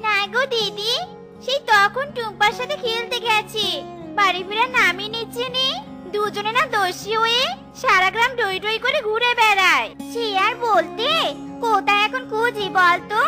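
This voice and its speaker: A homely, scolding fox wife from a village cartoon played by a woman. Her voice is extremely high, her talk folksy and nagging, with clear music behind it. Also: moderate pace. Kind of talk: animated children's cartoon dialogue.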